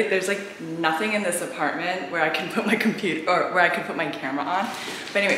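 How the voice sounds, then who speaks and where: A woman's voice: fairly low pitch, background noise. A woman in her thirties chatting casually to camera as a vlogger, thinking aloud as she does tasks around an empty apartment. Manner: informal, thinking aloud while doing tasks. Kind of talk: casual vlog chat. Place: empty apartment and small tiled bathroom, indoors. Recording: echoey empty rooms, background music.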